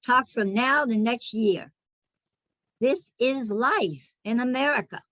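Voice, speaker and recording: Woman acting a slow, scolding embittered matriarch. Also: fairly high pitch, fairly bright tone, no room sound. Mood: proud, hard. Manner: emphatic, scolding, self-justifying. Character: hard-working, embittered, domineering Depression-era family matriarch. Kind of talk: theatrical dramatic monologue.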